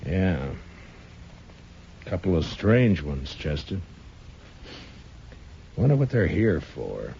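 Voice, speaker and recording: Old radio western lawman, real deep, muffled tape, laconic and suspicious, takes his time. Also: big pitch swings, creaky, warm tone, heavily acted. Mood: wary, musing. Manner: laconic, deliberate. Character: shrewd, suspicious frontier US marshal, grown man. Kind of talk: 1950s radio western acting. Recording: muffled, hissy 1950s radio recording.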